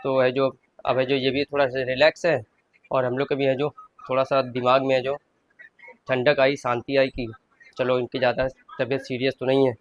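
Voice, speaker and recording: A man's voice: mid-range voice, little pitch movement, moderate pace, no room sound, lo-fi sound. A man in his thirties, a shopkeeper and father, chatting casually to viewers through a family vlog. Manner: chatty, addresses viewers as friends. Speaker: vlogger, shop owner and father.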